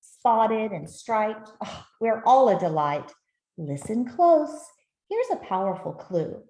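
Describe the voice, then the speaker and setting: A woman reading a picture book aloud to children in a gentle, expressive storytelling manner. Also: fairly high pitch, slow. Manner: gentle, expressive, with soft asides to listeners. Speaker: author reading her own picture book. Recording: roomy, echoey sound.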